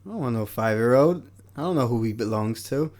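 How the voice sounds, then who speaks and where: Co-host jovem, vinte e poucos, sotaque nova-iorquino, provocador e risonho. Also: male voice, mid-range voice, lively intonation, fairly quick, a little mellow. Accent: New York. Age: 20s. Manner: teasing, laughs often. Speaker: podcast co-host. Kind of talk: casual chat.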